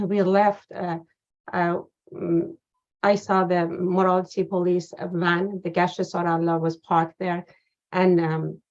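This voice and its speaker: A woman guest in an interview, telling a personal account slowly with many pauses and fillers. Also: on the low side, a little mellow. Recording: dull lo-fi sound.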